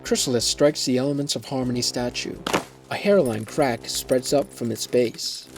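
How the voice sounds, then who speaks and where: A male narrator delivers scripted action and scene descriptions for an audio drama. His voice sits at an ordinary pitch, with faint music underneath. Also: normal speed.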